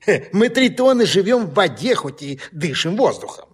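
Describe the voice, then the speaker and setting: A man's voice: sits high, big pitch swings, moderate pace, husky, full character voice. An actor playing a wise, cautious, self-satisfied male newt in a children's audio play, grumbling and chuckling expressively. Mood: proud, amused.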